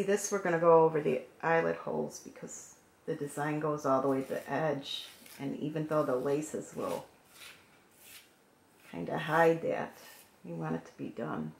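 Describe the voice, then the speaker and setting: A woman with a hoarse, froggy voice calmly talking through a craft painting tutorial at her work table. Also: low-pitched, unhurried, long pauses. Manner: calm, gentle instructional talk while working. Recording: echoey room with faint noise.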